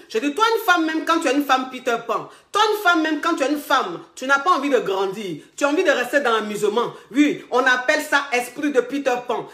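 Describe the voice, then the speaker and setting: A woman's voice: sits high, fairly bright tone, roomy sound. A woman with an Ivorian accent giving relationship advice straight to camera. She talks emphatically, repeats herself and calls viewers darlings, and she has a cold with a sore throat. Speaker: relationship coach. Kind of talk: direct-to-camera advice monologue.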